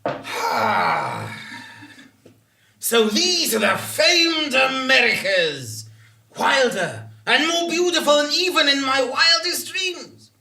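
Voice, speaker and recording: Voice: sits high, wildly swooping pitch, takes it slowly, pushed and bright, reverberant, heavily acted. A performer playing a pompous, vain, bumbling male conquistador in a live comic stage show, theatrical and boastful. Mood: boastful, grandiose. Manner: boastful, theatrical, flustered stammering.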